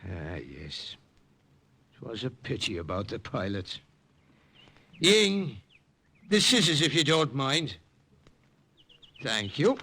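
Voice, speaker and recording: An actor playing a genial, kindly Irish missionary priest in an old radio drama, with a lilting manner. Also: male voice, medium pitch, very animated, slow, long pauses, pushed and bright, husky, exaggerated voice. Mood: gentle, somber. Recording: vintage radio recording.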